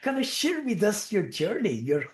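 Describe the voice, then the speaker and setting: Enthusiastic guy hosting a video-call podcast, lively voice, echoey room. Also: sits high, moderate pace. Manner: effusive, encouraging, many short affirmations. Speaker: podcast host. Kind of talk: enthusiastic interview chat.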